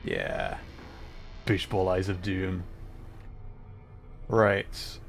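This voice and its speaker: A man chatting casually on a livestream while commenting on his gameplay, often thinking aloud haltingly. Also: sits low, big pitch swings, vocal fry, soft background music. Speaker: livestreamer playing a game. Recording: faint background noise, game ambience.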